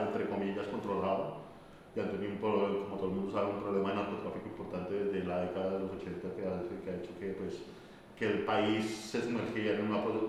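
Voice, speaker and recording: A man's voice: on the low side, darkish tone, a little hiss or hum. A man in his forties with a Colombian accent from Bogotá, answering interview questions hesitantly and repeating words as he searches for them. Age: 40s. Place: bright empty classroom, indoors. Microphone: far camera mic. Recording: echoey room from far off.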